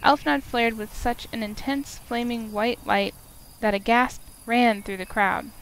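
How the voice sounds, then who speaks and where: A woman's voice: fairly high pitch, gravelly, a bit bright, a little hiss or hum. A woman reading scripted story narration aloud from a visual novel, as a let's player. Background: game music.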